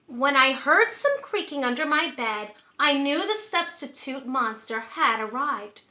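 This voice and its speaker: Very high, animated woman reading storybooks. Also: a little slow. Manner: encouraging, asks young listeners questions. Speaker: read-aloud storyteller for preschoolers. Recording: echoey room.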